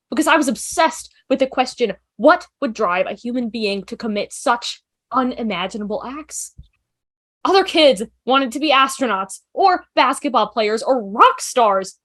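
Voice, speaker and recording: A woman's voice: a little high, lively intonation, normal speed, fairly bright tone. A woman playing an outspoken, nervy young student who rambles emphatically and theatrically in a drama table read. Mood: impassioned.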